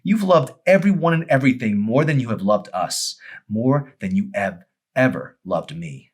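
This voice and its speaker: A man's voice: mid-range voice, animated, moderate pace, voice pushed up, lightly acted. A man voicing a bitter, fed-up wife in an audiobook, cutting, sarcastic and emphatic. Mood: scornful, sarcastic. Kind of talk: dramatic audiobook character acting.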